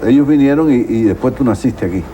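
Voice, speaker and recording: A man's voice: mid-range voice, lively intonation, quick pace, warm tone, a little hiss or hum, low-quality recording. A man with a Cuban accent asking questions as a conversational documentary interviewer.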